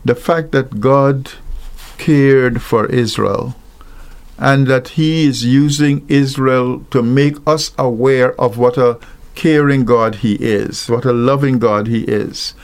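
A middle-aged man from Guyana, an interview guest who talks through Bible passages in a halting way, searching for his words. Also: medium pitch, steady tone. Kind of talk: slow reflective Bible discussion.

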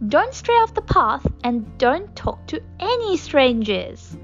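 A caring mother from a children's storybook, as a woman narrator puts her on, warning her child in a lifted, gentle voice. The lines come slowly. The recording sounds a little dull, with soft music underneath. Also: high voice, big pitch swings, voice pushed up, exaggerated voice.

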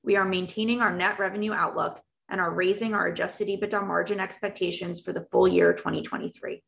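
A woman presenting company financial results as an executive, reading prepared remarks in a formal, measured way. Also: mid-range voice, steady tone, gravelly, a bit bright. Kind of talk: scripted earnings call presentation.

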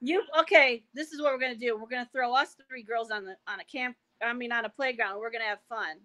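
A woman's voice: on the high side, fast, bright, forceful tone, no room sound, poor audio. A woman chatting as a podcast guest in a bubbly, laughing manner. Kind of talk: casual chat.

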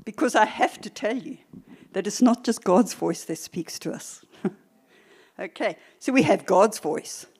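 An older woman preacher teaching a church sermon in a deliberate, emphatic way, with short pauses and repeated phrases. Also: medium pitch, lively intonation, a little mellow, slightly rough.

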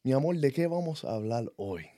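A man in his thirties with a Puerto Rican accent, co-hosting a podcast and talking in an earnest, reflective way, often pausing on fillers. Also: medium pitch, lively intonation, mellow, no echo, studio quality. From Puerto Rico. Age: 30s. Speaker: podcast co-host. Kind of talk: casual personal chat.

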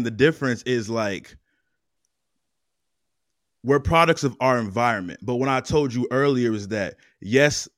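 A man's voice: medium pitch, a bit bright, no room sound, studio quality. A man in a casual podcast discussion, making his point steadily and with emphasis. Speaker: podcast guest.

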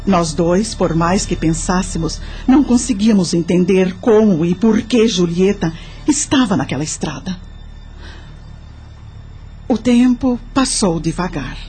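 Actress doing a sad lonely old brazilian lady telling her losses, radio drama, soft music behind. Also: lowish voice, close to an everyday voice. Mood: mournful. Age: older. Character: grieving, lonely elderly woman recalling her losses. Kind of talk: radio drama narration in character. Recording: music under the voice.

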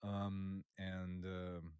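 A man who grew up in Russia, a guest chatting casually on a podcast about old games, talking with many pauses and fillers. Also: deep voice, completely flat delivery, a little mellow, slight background noise.

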